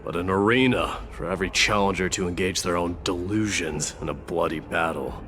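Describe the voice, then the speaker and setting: A man voicing a proud, battle-hardened warrior prince in a video game, grave, guarded and measured. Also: low voice, fairly bright tone, faint music behind. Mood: grave, thoughtful.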